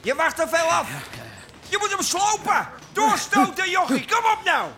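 Casting: fierce boxing trainer, strained very high shouts, drawn-out commands, clear ambient noise, radio play. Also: male voice, expressive. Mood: urgent, goading. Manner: barking, urgent, shouted commands. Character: fierce boxing coach barking at a young fighter. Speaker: boxing coach. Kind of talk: radio drama acting.

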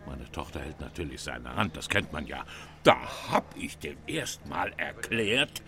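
A man's voice: a bass voice, big pitch swings, a little slow, sharp tone, heavily acted. An actor playing an indignant, put-upon middle-aged engineer and father in a German radio play, grumbling and blustering. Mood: indignant complaining. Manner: blustering, complaining.

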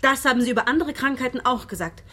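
An actress playing a brusque, impatient shop saleswoman in a radio play, with a husky voice. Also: high voice, fast, sharp tone. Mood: chatty, uneasy. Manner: blunt, impatient. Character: bored, brusque phone-shop saleswoman, gossipy and squeamish.

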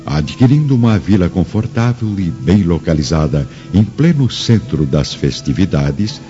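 A man narrates a radio drama in a deep voice. His delivery is solemn, formal and dramatic, over clear music on a slightly dull recording. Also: mellow. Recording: dull sound with music bed.

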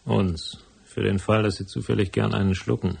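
A man playing a hard-boiled private detective in a noir radio drama, with a gravelly, husky voice and a dry, laconic delivery. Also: fairly low pitch, fairly even intonation, moderate pace, darkish tone, full character voice. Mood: cool, probing. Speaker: first-person narrator and lead character.

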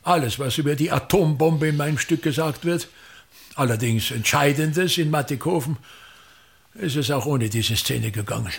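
An actor playing a pompous aging theatre director in a radio drama, speaking in a grandiloquent, complaining monologue. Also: male voice, on the high side, moderate pace, vocal fry, slightly rough.

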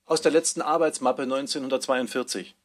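Mid-pitched man announcing headings. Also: steady tone, brisk. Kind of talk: scripted factual announcement.

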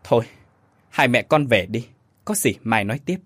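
A man's voice: medium pitch, animated, normal speed, fairly bright tone, no room sound, clean studio sound. A man voicing a sly, mercenary young gigolo, smug and scheming, in an audio story.

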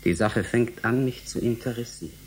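A man in a German radio drama playing a cautious, sceptical young scientist, measured and questioning, with a husky voice. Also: medium pitch, a little mellow, a little hiss or hum, close to an everyday voice, poor audio. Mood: doubting, deliberate. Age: young adult.

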